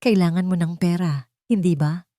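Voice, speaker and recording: A woman's voice: lowish voice, moderate pace, mellow, no room sound, clean studio sound, close to an everyday voice. A woman voicing a cold billionaire male boss with a curt, businesslike manner in a romance story. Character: cold, commanding, desperate billionaire male CEO.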